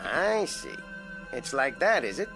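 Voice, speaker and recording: A man's voice: medium pitch, very animated, measured pace. A man voicing a bullying male ventriloquist's dummy that scorns its owner, in classic TV drama acting. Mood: sneering. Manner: scornful, domineering, insulting. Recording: old TV soundtrack with music underneath.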